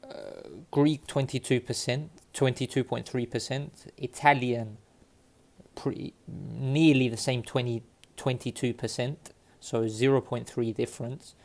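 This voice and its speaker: Slow, flat-voiced man from Cyprus. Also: medium pitch. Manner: halting, many fillers and restarts. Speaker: vlogger sharing DNA results. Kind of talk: casual talk to camera. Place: London.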